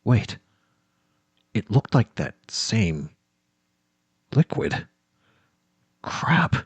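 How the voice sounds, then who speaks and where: A man's voice: fairly low pitch, very animated, drawn-out words, breathy edge. A man narrating a first-person horror tape as he explores, tense and muttering, swearing and dropping into whispers. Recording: loud background noise.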